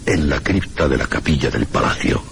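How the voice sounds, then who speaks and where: An exceptionally deep-voiced village chronicler in a radio mystery. He is a skeptical, deferential scholar with a gravelly voice, speaking in formal, measured phrases with small hesitations. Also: expressive, fairly bright tone, slight background noise, exaggerated voice. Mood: measured, didactic. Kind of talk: Spanish radio mystery drama acting.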